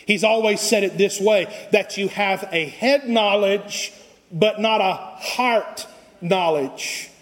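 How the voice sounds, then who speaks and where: A man preaching a passionate sermon as pastor, swinging from slow, low stretches to loud cries. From Costa Rica. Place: church sanctuary. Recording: long reverb of a church sanctuary.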